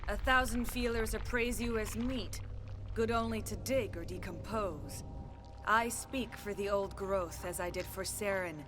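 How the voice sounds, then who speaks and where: An actress voicing a menacing alien clone who speaks for an ancient being in a formal, archaic, imperious way in a video game. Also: female voice, mid-range voice, measured pace, music in the background, lightly acted. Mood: imperious menace.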